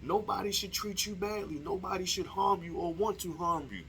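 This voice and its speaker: A man giving a blunt, profane opinion monologue, talking straight to the listener and swinging between low, quiet remarks and loud outbursts. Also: normal speed, creaky, a little hiss or hum. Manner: direct address to the listener. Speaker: commentator.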